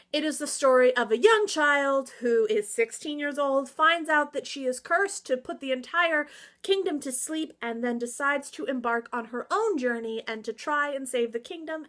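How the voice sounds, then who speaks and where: A woman chatting casually to viewers as a book reviewer, excitable, emphatic and slangy, with theatrical asides and exclamations. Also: high voice. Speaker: book reviewer and vlog host. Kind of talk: casual, opinionated book recommendation chat to viewers.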